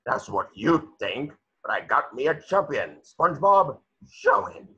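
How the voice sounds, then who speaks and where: A gruff old cartoon crab boss, with an extremely husky rasp and forceful edge. He is greedy and blustery, boasting with a lively pitch. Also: a little slow, highs cut off, full character voice. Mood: boastful. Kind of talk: cartoon script table reading.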